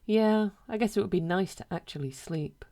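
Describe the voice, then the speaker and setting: A woman voicing a cautious, matter-of-fact male ghost in a story reading, world-weary and deadpan. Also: medium pitch, moderate pace, natural voice.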